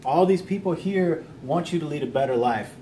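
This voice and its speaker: A man's voice: fairly high pitch, lively intonation, normal speed, warm tone, a little hiss or hum. An American man giving an informal instructional vlog talk in an earnest, plain-spoken, encouraging manner. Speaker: video host teaching self-help lessons.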